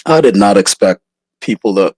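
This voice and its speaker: A man's voice: lowish voice, animated, normal speed, a little mellow, husky, no room sound, studio quality. A man hosting a livestream debate, hesitant and repeating words as he builds his point. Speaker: livestream host. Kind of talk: casual debate chat.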